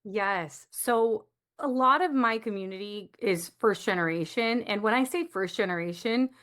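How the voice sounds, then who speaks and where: A woman from the United States answers as a guest coach in an interview. Her voice sits at a middling pitch. She explains things in a reflective, conversational way, drawing on personal examples. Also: normal speed, a bit bright. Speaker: guest, coach for first-generation community.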